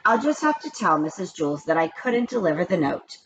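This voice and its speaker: A woman's voice: lowish voice, very animated, fairly quick. A woman reading as a hesitant, puzzled young schoolboy from a children's book. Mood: resigned. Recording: dull lo-fi recording with background noise.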